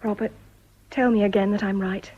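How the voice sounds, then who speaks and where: An actress with an English accent playing a devoted, quietly resolute Edwardian wife in a radio drama, with a breathy voice. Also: female voice, mid-range voice, steady tone, normal speed, lightly acted. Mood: tender, hushed. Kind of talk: period radio drama acting. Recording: dull, slightly muffled old radio recording.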